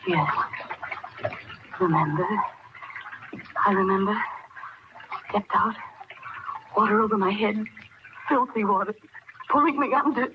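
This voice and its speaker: A woman's voice: mid-range voice, a little slow, long pauses, lightly acted. An actress playing a panicked, confused woman murderer, muttering in breathless fragments in the style of old radio horror. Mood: panicked, dazed. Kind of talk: 1940s radio horror acting. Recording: muffled, very noisy old radio recording.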